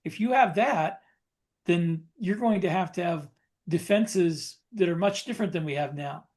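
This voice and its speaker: Male podcast co-host, high-pitched voice, casual and opinionated explainer. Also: normal speed, a little mellow. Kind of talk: casual chat about guns and military life.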